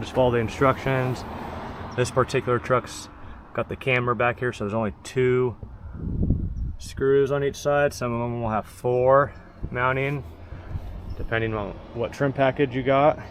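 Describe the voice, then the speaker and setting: A man's voice: mid-range voice, steady tone, normal speed, long pauses. A man narrating a casual how-to while working in and around a parked truck, plain-spoken and instructional, in short practical phrases. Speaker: presenter of a truck installation how-to. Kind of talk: casual hands-on tutorial narration. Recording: clear background noise, clicks of handling.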